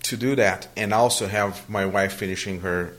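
A man's voice: lowish voice, steady tone, moderate pace, a bit bright. A Brazilian man, native Portuguese speaker, answering interview questions in a hesitant conversational way, often restarting his sentences. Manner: hesitant, many restarts and fillers.

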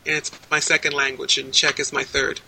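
Bright, crisp-voiced woman in her sixties. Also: low-pitched, level tone, low-quality recording. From United States. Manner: measured and articulate, listing details in long strings. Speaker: interviewee. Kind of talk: casual interview answers. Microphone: video call.

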